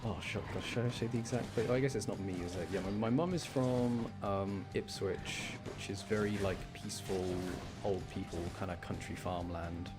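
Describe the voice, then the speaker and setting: A British man with a fairly low voice chats through a game stream over clearly audible music. He rambles and thinks aloud, mixing casual talk with commentary on his play. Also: moderate pace, hardly any pauses. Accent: British, generic English. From English Midlands, UK. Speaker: live streamer playing a video game. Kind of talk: casual chat with gameplay commentary.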